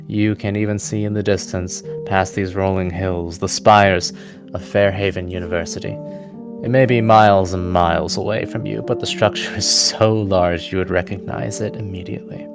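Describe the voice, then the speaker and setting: Very deep-voiced man narrating a fantasy game. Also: vocal fry. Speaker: game master. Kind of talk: narrating a tabletop roleplaying game. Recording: voice over prominent background music.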